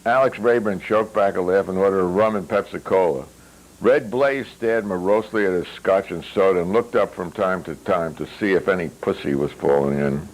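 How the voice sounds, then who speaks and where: A man reading a novel aloud as its author, with wry, deliberate phrasing and hesitant asides. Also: low-pitched, rough recording. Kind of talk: literary reading with spoken asides.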